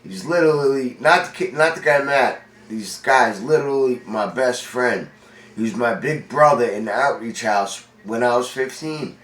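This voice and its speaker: A man's voice: medium pitch, moderate pace, fairly bright tone. A forty-year-old man from Long Island, congested, telling his own story in a rambling, profane, streetwise way. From Long Island, New York. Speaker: vlogger. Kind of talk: casual storytelling monologue. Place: indoor room with a window. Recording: roomy, distant from mic.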